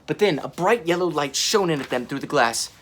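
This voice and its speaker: A man's voice: fairly high pitch, lively intonation, fairly quick. A man, narrating a children's storybook expressively. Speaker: story reader. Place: covered wooden porch outdoors.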